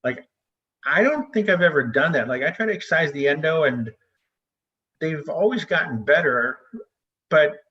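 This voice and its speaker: Higher-voiced man on dull call audio. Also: lively intonation, normal speed, long pauses. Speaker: host, gynecologic surgeon. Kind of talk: casual interviewing. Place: video call.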